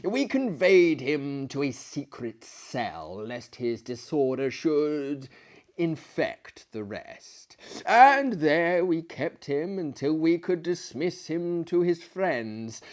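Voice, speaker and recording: A man voicing a genial, pompous older asylum director in a theatrical story reading, lecturing with emphasis. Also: on the high side. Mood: assured. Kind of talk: theatrical storytelling of a classic tale.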